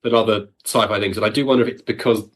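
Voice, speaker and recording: Low-voiced man chatting. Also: steady tone, racing, husky. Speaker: guest, audio drama producer. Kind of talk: casual interview chat.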